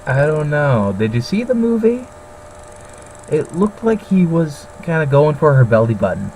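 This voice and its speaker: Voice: medium pitch, very animated, moderate pace, a little mellow, slight background noise, poor audio. A co-host joking casually in a teasing, playful manner. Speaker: co-host reading character lines.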